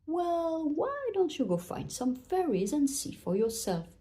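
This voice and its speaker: A grouchy woodland owl from a storybook, as a woman reader puts it on. It is impatient and brushes questions off with a tut, in a mellow tone. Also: sits high, big pitch swings, a little slow, a little hiss or hum, pitched above the performer's own voice. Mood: dismissive. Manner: dismissive, impatient. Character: grumpy, tutting owl roused from sleep.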